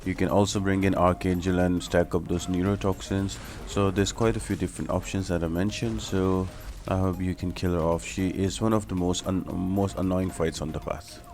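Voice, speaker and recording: A man's voice: low-pitched, little pitch movement, moderate pace, darkish tone. A man giving a casual game walkthrough, explaining things directly to viewers as the host of a guide.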